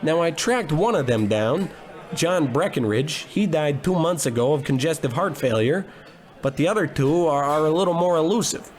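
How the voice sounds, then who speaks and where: Casting: male actor as oblivious gumshoe, higher-pitched voice, grumbling and self-important, old-time radio detective spoof. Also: lively intonation, normal speed, natural voice. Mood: blustery, oblivious. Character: bumbling, blustery, gluttonous male private investigator. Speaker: private detective in a radio play. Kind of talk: scripted comedy in old radio detective style.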